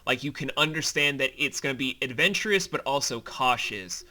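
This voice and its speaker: A man's voice: mid-range voice, lively intonation, brisk, bright, forceful tone. A man co-hosting a behind-the-scenes commentary as the creator, chatting casually and explaining things in an enthusiastic, rambling way.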